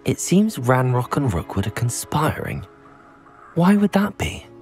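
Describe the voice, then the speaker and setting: An actor playing an earnest teenage wizard student in a video game, polite and composed. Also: male voice, medium pitch, wildly swooping pitch, a little slow, a little mellow, faint music behind. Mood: determined.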